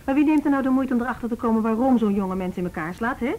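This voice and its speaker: A woman's voice: a little high, fast talker, lo-fi sound, close to an everyday voice. An actress playing an idealistic, sceptical policewoman in a radio drama, conversational and questioning. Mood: sceptical, challenging. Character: idealistic, sceptical, humane female police officer. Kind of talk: Dutch radio drama acting.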